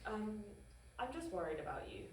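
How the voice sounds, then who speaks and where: Woman doing a demanding cartoon caller, suspicious, pitch all over the place, far off in echoey room. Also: very animated, normal speed. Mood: suspicious, worried. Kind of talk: comedy animation table read. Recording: echoey room from afar, faint noise.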